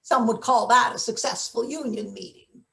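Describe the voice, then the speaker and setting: A woman's voice: fairly high pitch, normal speed, gravelly, fairly bright tone. A woman playing a sardonic, hard older forewoman in a live play reading, with a dry, wordy, sarcastic manner. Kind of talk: live table read of a satirical play.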